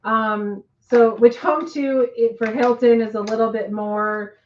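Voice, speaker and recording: A woman co-hosting a casual live chat, rambling with many ums and restarts, her voice hoarse and nearly gone. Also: a little high, fairly even intonation, unhurried, muffled recording, a little hiss or hum.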